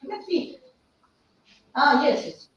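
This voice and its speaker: A middle-aged woman with an Indian English accent and a hoarse voice, giving an animated conference talk and questioning the teachers in the audience. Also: sits high, slow, slight background noise. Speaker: speaker addressing teachers. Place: large echoey hall. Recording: echoey hall from far off, dull.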